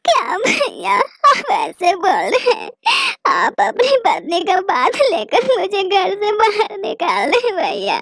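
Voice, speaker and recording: A woman's voice: very high-pitched, huge pitch swings, slow, a bit bright, no echo, voice pushed up, exaggerated voice. A woman voicing a sad, childlike little-sister bird in a children's cartoon, pleading and plaintive. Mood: tearful, hurt. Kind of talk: Hindi children's cartoon dialogue.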